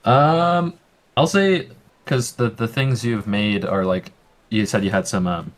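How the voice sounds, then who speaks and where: A man's voice: on the low side, a little slow. A man working as game master, explaining the rules in a casual way with many fillers. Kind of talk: narrating a tabletop game.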